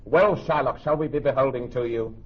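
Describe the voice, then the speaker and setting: Muffled radio drama. Male actor as stiffly formal merchant, husky. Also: medium pitch, brisk, lightly acted. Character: proud, formal merchant, grave and loyal. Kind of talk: classical Shakespearean radio drama acting. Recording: dull, muffled radio recording.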